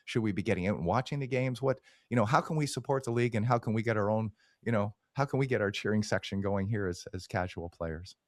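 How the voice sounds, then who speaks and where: A man's voice: fairly low pitch, fast talker, dry recording, studio quality. A man hosting a podcast, chatting in a candid, self-deprecating way with his guests. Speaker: podcast host. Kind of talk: casual interview chat.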